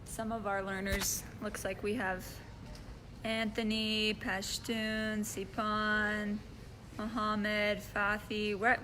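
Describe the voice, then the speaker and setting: A woman's voice: medium pitch, monotone, takes it slowly, pushed and bright, a little hiss or hum. A young woman hosting a casual live-stream interview, talking in an upbeat, chatty way with fillers. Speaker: host interviewer.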